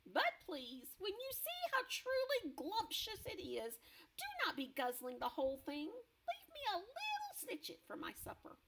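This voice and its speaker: A woman reading aloud and voicing a big, kindly male giant who speaks in mangled grammar, in a high, lilting voice that slows on odd invented words. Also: wildly swooping pitch, a bit bright, a little hiss or hum, full character voice, poor audio. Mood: coaxing.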